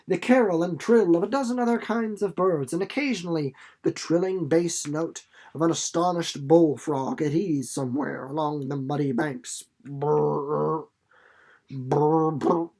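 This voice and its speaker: Expressive storybook narrator. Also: medium pitch. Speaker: audiobook reader. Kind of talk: scripted narration of a children's novel.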